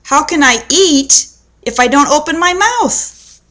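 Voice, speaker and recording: A woman's voice: high voice, animated, slow, bright, forceful tone, voice pushed up, heavily acted. A woman voicing a stubborn, proud little preschool girl in a children's storybook, defiant and insistent. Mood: puzzled. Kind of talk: children's storybook read aloud.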